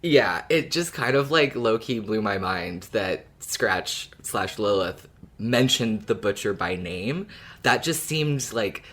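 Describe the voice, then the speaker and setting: A woman's voice: rumbling low, animated, slow, fairly bright tone. A woman co-hosting a podcast, chatting casually and informally with plenty of slang about fiction. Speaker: podcast co-host.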